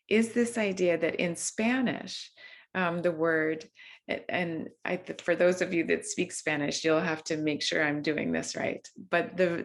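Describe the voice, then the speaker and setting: A woman leading a video-call mindfulness session in a gentle, unhurried way, with frequent ums and restarts. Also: fairly low pitch. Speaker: workshop facilitator. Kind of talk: gentle guided mindfulness talk.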